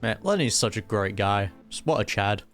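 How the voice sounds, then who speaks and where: A man's voice: lowish voice, lively intonation, moderate pace, a bit bright, soft background music. A man giving casual, joking reaction commentary as a game streamer.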